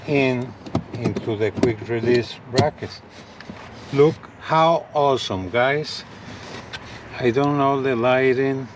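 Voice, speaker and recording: A man's voice: medium pitch, a little hiss or hum. A man giving a casual hands-on product demo to viewers, repeating himself with enthusiastic phrasing, in and around a parked truck. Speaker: gadget review vlogger.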